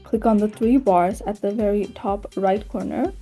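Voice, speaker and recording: A woman with a very mellow, dark voice and a husky edge narrates a how-to guide. She gives plain instructions one step at a time, in the style of a tutorial host. Music plays behind her. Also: mid-range voice, moderate pace.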